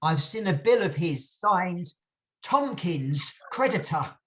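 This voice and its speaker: A woman's voice: fairly low pitch, expressive, slow pace. A woman playing a plain-spoken orphan youth in a mock tragedy, speaking with simple earnestness. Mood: dutiful. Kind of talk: mock-heroic stage tragedy reading.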